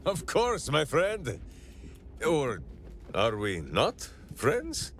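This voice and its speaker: A man's voice: fairly high pitch, wildly swooping pitch, extremely slow, a bit bright, full character voice. A man playing a big-hearted, simple warrior ranger in a video game, bombastic and oddly formal. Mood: friendly, boastful. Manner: bombastic, refers to himself in third person, oddly formal phrasing. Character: big-hearted, simple heroic ranger warrior who talks through his hamster. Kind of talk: theatrical video game character acting. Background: background music.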